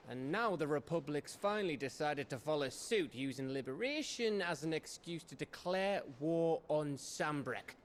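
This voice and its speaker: A man's voice: a little high, slight background noise, lightly acted. Gav, a cheeky, foul-mouthed spy voiced by a man. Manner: joking and chatty, swears.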